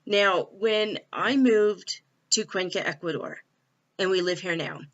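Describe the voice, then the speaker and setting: A woman's voice: medium pitch, unhurried. A middle-aged woman from California talking casually and candidly into her laptop, with many fillers and little laughs. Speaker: vlogger. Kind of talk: casual spiritual vlog. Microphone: laptop built-in mic. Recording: dull lo-fi laptop mic.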